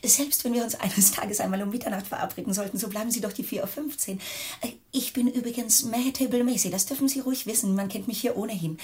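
An actress playing a flirtatious, self-important secretary in a German radio drama, coy and garrulous. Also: female voice, fairly high pitch, quick pace, darkish tone, close to an everyday voice. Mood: coy, chatty.

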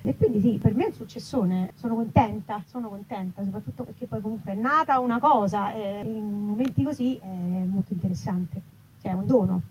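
A woman's voice: mid-range voice, a little fast, a little mellow. A woman informally presenting her book at a live event, colloquial and wry. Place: festival event in an olive grove. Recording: dull live event recording, faint noise.

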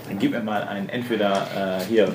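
A man's voice: on the low side, steady tone, moderate pace, background noise. A man running a tabletop role-playing game, narrating scenes to his players with a wry, playfully dramatic touch. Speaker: game master. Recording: roomy echo, music underneath.

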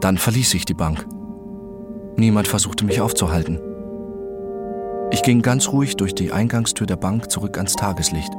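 A man performing a detached, obsessed storyteller in a radio play. The voice is low and deliberate, serenely fascinated as it narrates. Loud music runs beneath it. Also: natural voice. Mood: calm, absorbed. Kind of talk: scripted dramatic narration in an audio play.

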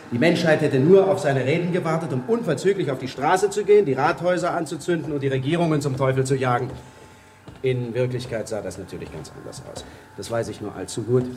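A man's voice: on the high side, slight background noise, natural voice. An actor playing a worldly, amused, cynical bourgeois ex-revolutionary, telling his stories expansively and sardonically in a radio drama. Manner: sardonic, animated, expansive storyteller. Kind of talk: 1970s German radio drama acting.

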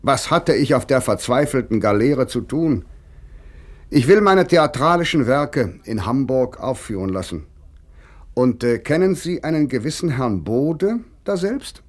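A man's voice: mid-range voice, expressive, measured pace. An eighteenth-century man of letters in a mid-century German radio drama. His voice sits in a middle register. He speaks his letters as spirited, articulate conversation. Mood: lively, resolute.

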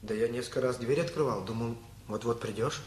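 An actor playing a lovesick, wounded teenage boy, earnest and halting, in a radio play. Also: medium pitch, slightly rough. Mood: hurt, subdued.

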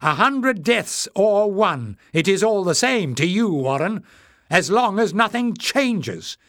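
Man as a high, passionate young rebel. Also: very animated, slow, dry recording, studio quality, heavily acted. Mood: passionate, defiant. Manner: shouting passionately. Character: passionate, defiant young male rebel of cave folk.

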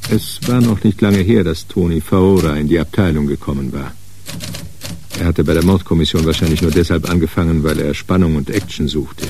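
A man acting as the measured narrator of a hard-boiled crime radio play. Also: extremely low pitch, a little mellow, a little hiss or hum. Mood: measured, narrating. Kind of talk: scripted narration in a radio drama.

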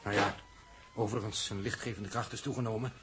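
An actor playing a dry, observant, matter-of-fact spaceship crewman in a 1960s radio drama, with a husky, rough voice. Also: lowish voice, fairly even intonation, fast, fairly bright tone.

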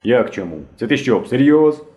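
A stern, elderly working-class woman from a storybook, acted out by a male narrator. She grumbles and scolds with heavy emphasis. Her tone is mellow in timbre yet full of reproach. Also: mid-range voice, lively intonation, muffled recording, voice pushed up, close to an everyday voice. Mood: slow reproach. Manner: slow, emphatic, reproachful delivery.

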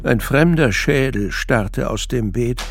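A man's voice: medium pitch, slow, vocal fry. Male radio drama narrator over music.

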